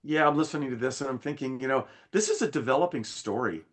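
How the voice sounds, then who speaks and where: American male co-host, chatty and discursive, talks his way through points, mid pitch. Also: fast. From United States. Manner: conversational, discursive, animated. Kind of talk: casual panel discussion.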